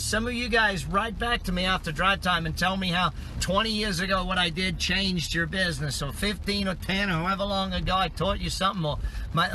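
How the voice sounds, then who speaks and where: Middle-aged guy coach talkin while he drives, fairly high voice, loud road noise behind. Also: poor audio. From Australia. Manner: upbeat, repeats key points, numbered tips. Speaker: business coach. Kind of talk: motivational talk while driving. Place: in a car on the road.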